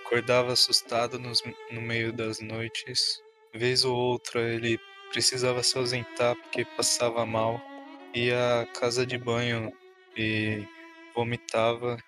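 Even-toned man narrating, music behind. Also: medium pitch, unhurried. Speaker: tabletop game player. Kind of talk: dramatic narration of a character.

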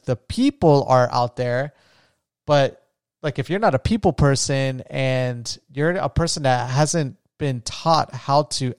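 A man's voice: mid-range voice, a little mellow, no echo, clean studio sound. Man talking casually on a solo podcast. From United States. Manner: rambling, thinking aloud with many restarts. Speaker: podcast host.

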